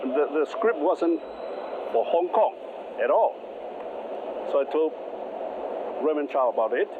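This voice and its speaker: Slow, halting man, phone-like sound. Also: fairly high pitch. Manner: slow, halting, repeats and restarts. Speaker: interviewee, film production worker. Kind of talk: interview recollection. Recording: phone-like sound with air conditioning hum.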